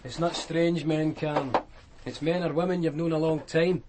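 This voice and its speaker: A man's voice: fairly high pitch, steady tone, moderate pace, lightly acted. Actor as male detective, hard, sceptical, sardonic, radio crime drama, heavy background noise. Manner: blunt, sardonic. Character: hard, sceptical police detective questioning a witness. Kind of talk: naturalistic radio drama acting. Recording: very noisy radio drama track.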